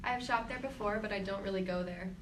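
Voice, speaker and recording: A 22-year-old woman gives quick, matter-of-fact answers in a focus group. She is heard from a distance in an echoey room with background noise. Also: medium pitch.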